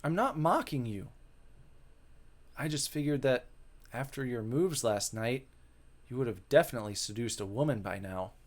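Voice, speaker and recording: A man's voice: mid-range voice, normal speed, pauses often, close to an everyday voice. Man reading as a composed, caring young woman. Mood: curious. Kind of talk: dramatic visual novel reading. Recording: music behind the voice.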